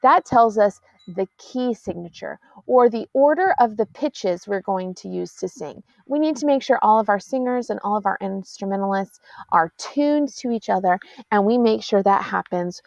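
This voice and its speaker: A choir teacher, patiently explaining sheet music to middle school singers and counting beats aloud. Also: female voice, medium pitch, animated, normal speed, vocal fry, a little mellow, dry recording. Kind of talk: classroom music lesson. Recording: dull, dry lo-fi audio.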